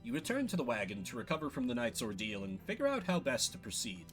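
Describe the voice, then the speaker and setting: A man's voice: mid-range voice, wildly swooping pitch, fast talker, soft background music. A man reading scripted game narration in a storybook-like style.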